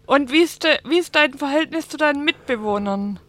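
Bright high-voiced woman interviewer. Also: animated, a little fast, dry recording. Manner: short, direct questions. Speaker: radio presenter. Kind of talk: community radio interview.

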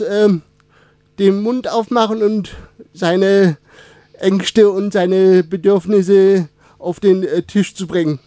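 A man in his twenties, Turkish as his first language, answering interview questions in a casual, halting way with many fillers and restarts. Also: high-pitched, mellow, dry recording, studio quality. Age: 20s. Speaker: radio guest.

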